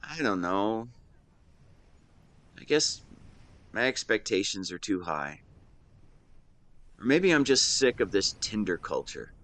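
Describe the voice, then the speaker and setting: A man's voice: fairly low pitch, very animated, takes it slowly, pauses often, a bit bright, full character voice. A man in his twenties playing a devoted, protective and secretly lovesick best friend in a conversational audio roleplay, earnest and playful with some hesitation. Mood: wistful, resigned. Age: 20s. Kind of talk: intimate one-sided roleplay acting, conversational.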